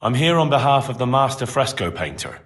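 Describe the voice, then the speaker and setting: An actor playing a blunt, persistent young investigator in a medieval video game, with a direct, questioning manner. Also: male voice, mid-range voice, sing-song, a little fast, big-room sound, natural voice. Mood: pressing, suspicious.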